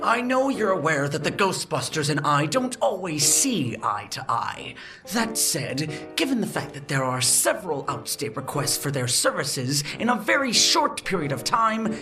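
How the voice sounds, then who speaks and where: A man playing a smooth, formal and self-satisfied city official in an audio drama. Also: fairly high pitch, big pitch swings, moderate pace, recorded from a distance, over music. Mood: smug.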